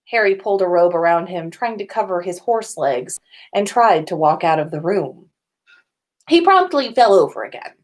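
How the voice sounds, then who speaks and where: A woman reading comic fan fiction aloud as a podcast host, now and then stumbling and rereading a phrase. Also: on the low side.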